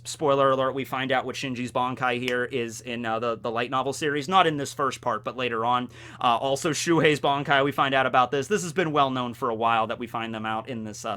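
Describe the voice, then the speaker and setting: A man hosting a video, talking to viewers in a casual, slangy, animated way like a fan giving commentary. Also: medium pitch. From United States. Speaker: video host reviewing a light novel.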